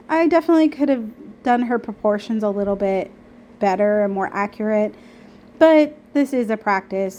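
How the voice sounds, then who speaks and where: A young woman talking casually about her painting, a bit nasal and raspy from a cold, chatty and self-deprecating with lots of ums and likes. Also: mid-range voice, darkish tone, slight background noise. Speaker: art vlogger. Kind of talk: casual narration over painting footage.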